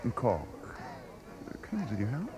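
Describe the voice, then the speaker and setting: An actor playing a stiff, formal older duke in a 1950s German radio drama, courtly, clipped and snobbish. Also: male voice, mid-range voice, wildly swooping pitch, normal speed, mellow. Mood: dignified, affronted.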